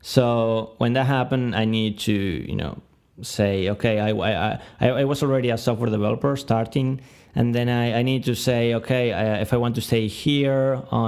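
A man's voice: mid-range voice, vocal fry, darkish tone, clear, resonant tone. A man of twenty-nine from Argentina with a Spanish-speaking accent, giving reflective interview answers and often searching for words. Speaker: podcast guest.

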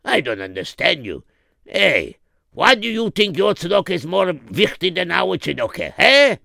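A man's voice: high-pitched, sing-song, moderate pace, creaky, bright, forceful tone, no echo, clean studio sound, pitched above the performer's own voice, full character voice. A man voicing an indignant, argumentative fellow scolding people in a charity queue, in a comic story. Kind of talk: acted dialogue in a comic story.

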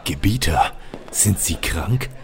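A man's voice: low-pitched, slow pace, heavy background noise. A man who co-hosts a comedy podcast, speaking expressively in acted sketches and banter. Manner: animated, expressive. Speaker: podcast co-host and sketch voice actor.

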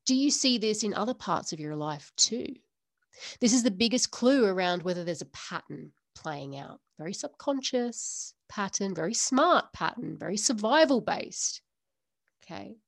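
A woman's voice: medium pitch, very animated, normal speed, no echo, clean studio sound. A woman hosting a solo podcast, talking earnestly to listeners in a string of repeated rhetorical questions. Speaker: podcast host. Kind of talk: solo podcast coaching talk.

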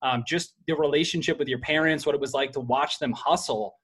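A man's voice: a little high, brisk, fairly bright tone, slightly rough. A man hosting a podcast interview, casual and enthusiastic, calling his guest "man" and "bro". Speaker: podcast host.

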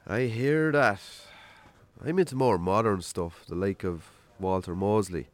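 An actor with an Irish accent playing a gruff, shy, wry single farmer in a naturalistic radio drama. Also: male voice, fairly low pitch, measured pace, no room sound, natural voice. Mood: shy, friendly.

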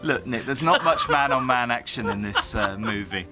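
A man's voice: medium pitch, expressive, moderate pace, loud music behind the voice. A man explaining a film as an interview guest, with enthusiasm.

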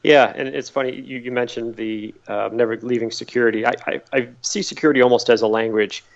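A middle-aged man from Massachusetts whose voice has a gravelly, rough edge. He answers interview questions as easygoing stories, often repeating a word while he gathers his thoughts. The sound is slightly dull and lo-fi. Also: mid-range voice, brisk. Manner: relaxed storytelling, repeats words while thinking. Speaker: podcast guest.

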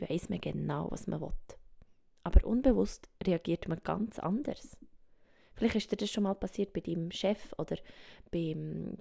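Soft-spoken Swiss woman, whispery and gravelly. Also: lowish voice. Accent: Swiss German. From Switzerland. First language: Swiss German. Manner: soft, often drops to a whisper, thinks aloud with fillers. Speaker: podcast host. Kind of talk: reflective solo podcast monologue. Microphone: home microphone.

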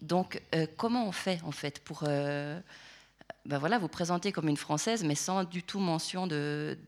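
A woman's voice: sits low, a little slow. A woman interviewing a guest, asking her questions in a searching, hesitant way.

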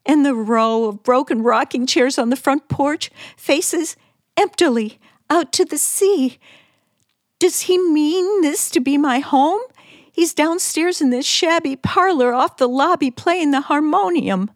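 Nervous, pleading wife for vintage radio thriller: high voice, slow hesitant theatrical delivery. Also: female voice, no room sound, clean studio sound. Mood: despairing narration. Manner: breathless, hesitant, stammering, theatrical. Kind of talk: 1940s radio suspense acting. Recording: old radio drama, faint music behind.

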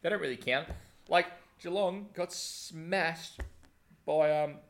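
Young Australian male co-host, relaxed banter, teasing about footy. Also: on the high side, slow. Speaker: podcast co-host. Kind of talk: casual chat.

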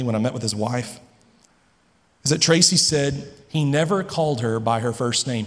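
A man preaching a sermon to his congregation, earnest and emphatic, with dramatic pauses. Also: mid-range voice, big-room sound. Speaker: pastor. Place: large church hall.

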